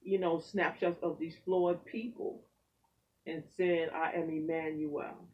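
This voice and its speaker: A man, a pastor and poet, talking in an animated, preacherly way in a casual faith conversation. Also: fairly high pitch, slow, far from the mic, lo-fi sound.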